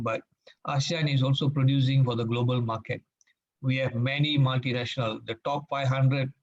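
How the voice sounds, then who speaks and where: A man from Malaysia, giving a formal talk as a guest speaker at a meeting and pausing often with fillers. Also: medium pitch. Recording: dull lo-fi sound.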